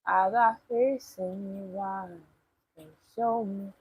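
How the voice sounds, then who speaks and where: Husky woman chatting on stream. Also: medium pitch, steady tone, takes it slowly, a little mellow, no room sound, rough recording. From Nigeria. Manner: talks back to chat comments, blunt and swearing. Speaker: live streamer. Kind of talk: casual live stream chat.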